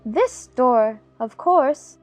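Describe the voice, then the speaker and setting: A woman's voice: sits high, expressive, very slow, a little mellow, soft background music, heavily acted. A woman voicing a young storybook girl, earnest and fussy, easily puzzled and impatient. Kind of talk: dramatized audiobook character acting.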